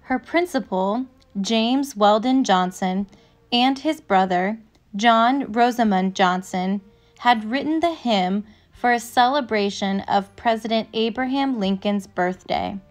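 A woman narrator reading a children's picture book aloud from a script, with measured, careful phrasing. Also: fairly high pitch. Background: instrumental music.

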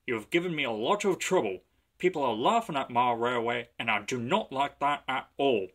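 A man's voice: medium pitch, lively intonation, a bit bright. A man voicing a stern but kindly railway boss in a children's story, speaking with authority. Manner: authoritative, measured scolding and instructing.

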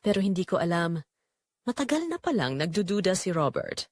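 Woman playing a guilt-ridden wife, lively pitch, confessional. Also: fairly quick, no room sound, clean studio sound. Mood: guilty, troubled. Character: guilt-ridden married mother confessing a secret affair. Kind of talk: dramatic first-person scripted narration.

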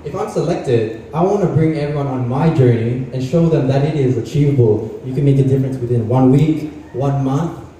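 Aussie-raised guy in his 20s, calm confident pitch, dark mellow voice, faint hum, lots of hall echo. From Australia. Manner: confident, measured. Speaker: pageant contestant. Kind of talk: prepared self-introduction speech. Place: large indoor hall stage. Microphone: handheld mic, filmed from far. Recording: echoey hall from far off.

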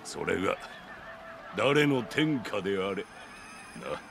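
An actor playing a magnanimous, genial middle-aged samurai lord in a game, speaking in a stately, measured way. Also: male voice, low voice, big pitch swings, gravelly, over music, heavily acted. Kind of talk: Japanese samurai game cutscene acting.

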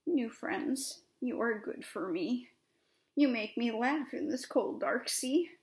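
A woman voicing a gentle, lost moon in a children's story, with a lilting, plaintive, poetic delivery. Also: on the high side, expressive, slow, pitched above the performer's own voice. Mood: grateful, wistful.

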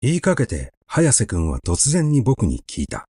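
A man reading a literary story aloud in a measured, expressive, conversational storytelling manner, close to the microphone. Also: medium pitch, breathy edge, no echo. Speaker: audiobook reader.

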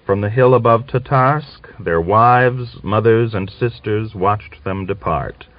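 A man's voice: on the low side, takes it slowly. A man reading a novel aloud as a scripted audiobook narrator. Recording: dull lo-fi audiobook recording.

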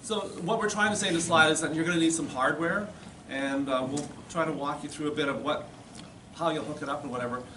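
A man's voice: fairly high pitch, quick pace. Middle aged guy explaining stuff in a lecture room, far from the mic, noisy. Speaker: presenter at a society meeting. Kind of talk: informal demonstration lecture. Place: classroom or lecture room, indoors. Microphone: far camera. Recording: roomy, distant, noisy camera recording.

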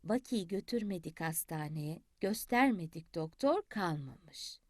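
Woman voicing a soft old grandmother hen. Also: lowish voice, animated, a little slow, dry recording, studio quality, voice pushed down. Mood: hushed storytelling.

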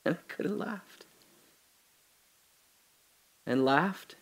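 An actor playing a confused amnesiac man trapped alone in a hole, rambling and swinging between giddy laughter and hushed muttering. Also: sits high, very slow, vocal fry, slightly rough, heavily acted. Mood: wistful. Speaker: audio drama lead actor. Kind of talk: solo audio drama monologue.

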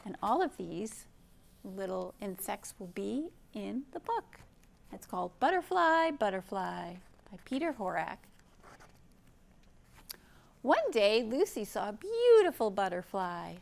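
A woman in her fifties hosting a children's storytime, speaking gently and expressively in simple phrases, with questions for young listeners. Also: medium pitch, very animated, takes it slowly, gravelly. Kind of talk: read-aloud storytime for young children, with chat and song. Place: indoor library program room.

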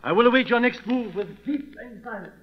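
An anguished French nobleman, very high in pitch. His delivery is florid and theatrical, in a muffled old radio play. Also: fairly quick, slight background noise. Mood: anxious. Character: anguished wealthy French duke robbed of emeralds. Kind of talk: 1930s radio acting.

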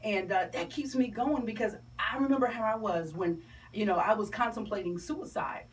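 A woman's voice: mid-range voice, moderate pace. A woman with a Southern US accent speaking animatedly and colloquially as a guest in a radio interview. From South Carolina, USA. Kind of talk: radio interview, promotional chat. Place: small radio studio, indoors. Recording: distant mic, clear background room noise.

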